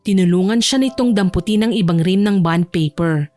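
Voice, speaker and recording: A woman's voice: lowish voice, brisk, dark tone. A woman reading scripted narration for a romance audio drama, with music playing behind her.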